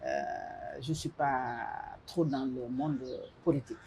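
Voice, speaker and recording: Senegalese woman, middle aged, low mellow voice, slow thoughtful answers w lots of hesitating, bit of noise behind. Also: sing-song, gravelly. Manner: measured, thoughtful, with frequent hesitations. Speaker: interview guest. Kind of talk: casual interview talk mixing French and Wolof.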